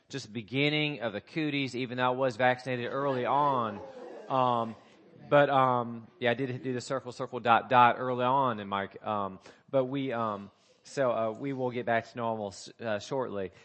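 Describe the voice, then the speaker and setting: Male pastor with a cold-scratchy voice, mid-pitched, preaching in a church; informal, halting delivery full of ums. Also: a bit bright. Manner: halting, many fillers and restarts. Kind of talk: sermon. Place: church sanctuary.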